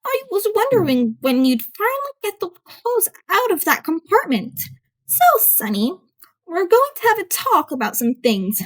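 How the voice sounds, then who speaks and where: A woman's voice: extremely high pitch, very animated, normal speed, pitched above the performer's own voice, poor audio. A woman voicing an elderly school nurse, kindly but stern and scolding, in an amateur audiobook. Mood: stern, knowing. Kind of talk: fan fiction audiobook character voice.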